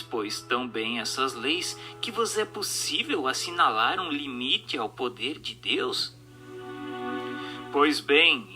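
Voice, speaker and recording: A man reads a formal text as dramatic narration, in an emphatic, rhetorical, oratorical manner. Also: mid-range voice. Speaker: narrator reading a book aloud. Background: music.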